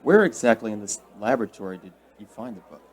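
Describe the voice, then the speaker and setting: A middle-aged investigator in a radio play, stern but fatherly. His voice is on the low side, and he speaks with measured, probing authority. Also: very animated. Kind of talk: radio drama acting.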